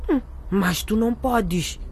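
A woman's voice: mid-range voice, slow pace, a little mellow, breathy edge, exaggerated voice. A woman playing a frank, slightly defensive teenage schoolgirl in a radio drama. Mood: matter-of-fact.

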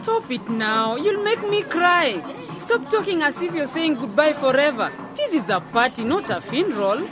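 A woman's voice: sits high, very animated, normal speed, over music, crowd noise. An actress playing an affectionate, teasing village woman, no longer young, in a radio drama.

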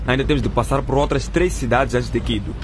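An actor playing a responsible, good-natured truck driver in a radio drama, explaining and admonishing like a minder of young boys. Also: male voice, mid-range voice, quick pace, natural voice. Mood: patient. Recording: clear vehicle noise behind.

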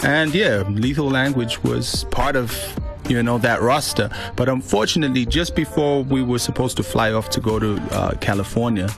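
A man from Zimbabwe, a guest on an interview, telling his story in a relaxed, rambling way with lots of "you know". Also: medium pitch, normal speed, vocal fry, over music. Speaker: interview guest, hip hop artist.

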